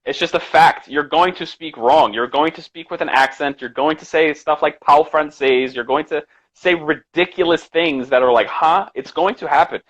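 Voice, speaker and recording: A man's voice: a little high, moderate pace, fairly bright tone, rough recording. Emphatic man chatting on a live stream. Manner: earnest, emphatic, repeats himself. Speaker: English teacher, co-host of a live stream. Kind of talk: casual live stream chat.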